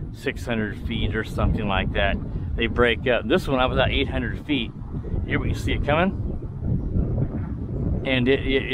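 A man giving casual, rambling commentary while flying a drone outdoors, with a furry windscreen on his mic. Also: mid-range voice. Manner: chatty, rambling, many fillers and restarts. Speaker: drone reviewer. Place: outdoors in a windy field. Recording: loud wind noise in mic.

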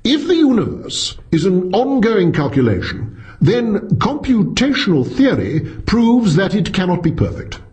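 A man's voice: sits high, huge pitch swings, a little slow, a little mellow, highs cut off, pitched above the performer's own voice, exaggerated voice. A man voicing an intense, reedy philosophy professor who lectures with smug energy, in an audiobook story. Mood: precise, confident.

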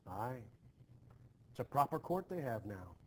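A man's voice: mid-range voice, very animated, measured pace, darkish tone. Man reading as anxious Puritan wife, noisy recording. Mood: reserved. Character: anxious, dutiful Puritan farm wife, quietly reproachful. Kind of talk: expressive play reading. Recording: noticeably hissy home screen recording.

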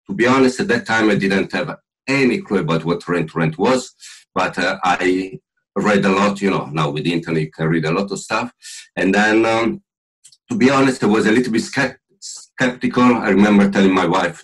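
Italian man, low husky voice. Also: rough recording. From Italy. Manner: jokey, chatty, with filler pauses. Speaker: podcast guest. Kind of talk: casual interview talk. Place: home room, indoors. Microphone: video call.

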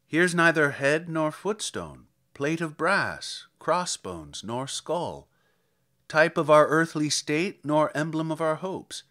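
A man's voice: on the high side, animated, slow pace, sharp tone, heavily acted. A man voicing a courteous, curious traveler in a dramatic verse reading, with a polite, inquiring manner. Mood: questioning. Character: courteous male stranger, a curious, questioning traveler.

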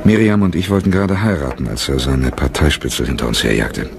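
An actor playing an embittered, haunted and defiant soldier turned theatre leader in a radio play. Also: male voice, extremely low pitch, a little fast, gravelly. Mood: bitter recollection. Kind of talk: German radio play acting.

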